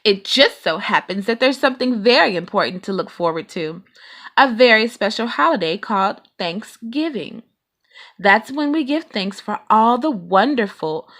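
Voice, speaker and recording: A woman voicing a sensible, motherly mama bear in a children's storybook, firm and gently reproving. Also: medium pitch, lively intonation, normal speed. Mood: firm, eager.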